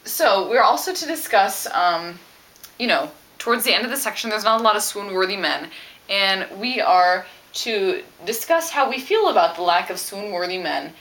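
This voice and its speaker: A woman with a bright, forward voice talks through a book in a casual vlog. Her pitch moves around a lot, and she is chatty and emphatic as she works through her opinions. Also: expressive, normal speed, rough recording. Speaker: book vlogger. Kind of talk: casual book discussion to camera.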